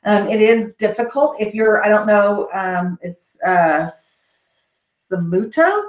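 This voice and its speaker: A woman's voice: mid-range voice, expressive, slow pace. A woman with a Texas accent hosting a casual question-and-answer session on college admissions, rambling with frequent ums and restarts. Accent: Texan. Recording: echoey room.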